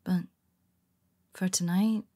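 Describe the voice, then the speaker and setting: A woman voicing a young female superhero in an audio roleplay, speaking hesitantly in a husky half-whisper with many restarts. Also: lowish voice, fairly even intonation, slow pace, dark tone, no room sound, clean studio sound. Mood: tender, confessional.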